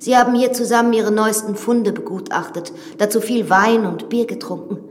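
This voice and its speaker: An actress playing a composed, matter-of-fact widow of an old manor in a German radio drama, speaking in a measured, guiding way. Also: female voice, medium pitch, fairly even intonation, a little hiss or hum, lightly acted. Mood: wistful. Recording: echoey reverberant vault.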